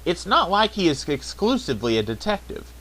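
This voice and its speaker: A man's voice: high voice, very animated, a little fast, pushed and bright. A man narrating a scripted review, with wry, sarcastic asides. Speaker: video reviewer.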